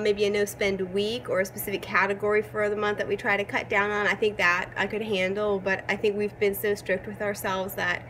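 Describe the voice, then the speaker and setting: A woman in her thirties, hoarse from a cold, chatting casually and upbeat with lots of ums as she vlogs from home. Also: mid-range voice, a bit bright, slight background noise, low-quality recording. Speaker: vlogger. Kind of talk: casual chat. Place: at home, changed rooms.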